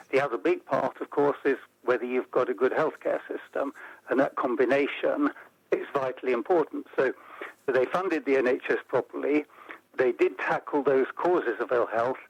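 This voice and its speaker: A man as an interview guest, explaining policy in a measured way and building points into lists. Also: mid-range voice, breathy edge, no echo, muffled recording. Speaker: interview guest, report author. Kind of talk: radio interview.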